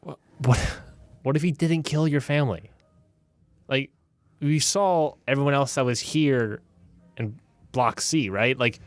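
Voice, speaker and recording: A man playing a conflicted adventurer who trusts his gut, speaking haltingly with stammers in a tabletop game. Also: mid-range voice, no room sound, lightly acted. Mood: uneasy, hesitant. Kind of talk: improvised tabletop roleplay.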